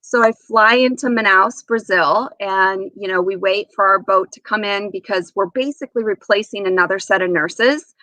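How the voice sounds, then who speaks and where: A woman in her forties speaking as an interview guest, telling casual anecdotes in an animated way and acting out remembered dialogue. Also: medium pitch, moderate pace, few pauses, no echo, rough recording. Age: 40s.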